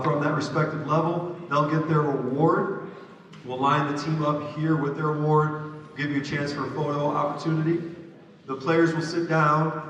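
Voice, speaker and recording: A man's voice: a little high, highs cut off, slight background noise. A man emceeing a sports banquet, laying out the awards step by step. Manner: organized, explains step by step. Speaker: basketball program head coach, emcee. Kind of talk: banquet announcements. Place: large echoey hall. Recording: distant, echoey hall recording.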